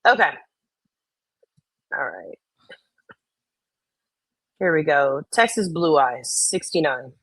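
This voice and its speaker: A woman's voice: deep voice, sing-song, slow pace, long pauses, a bit bright, breathy edge, dry recording. A woman co-hosting a casual chat, reading out and reacting to comments in a blunt, opinionated way with some swearing.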